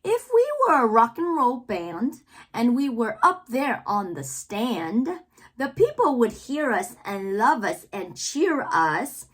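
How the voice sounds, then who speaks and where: A woman reading poems aloud for children in a theatrical way, drawing out words and pausing between phrases. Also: on the high side, big pitch swings. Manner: theatrical, drawn-out words with pauses. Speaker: read-aloud host, children's reader. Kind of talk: expressive poetry read-aloud for children.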